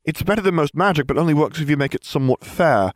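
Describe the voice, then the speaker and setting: A self-absorbed teenage boy wizard whines and lectures with theatrical flair, as a man reads him. His voice rides high with big swings in pitch, and the sound is close and dry. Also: lively intonation, fast, no echo, lightly acted. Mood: smug. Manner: whiny and theatrical, then smugly explaining.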